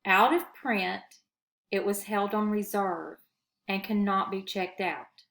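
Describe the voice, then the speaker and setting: A woman narrating a children's story aloud in an expressive, read-aloud storyteller's style. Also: mid-range voice, slow, vocal fry.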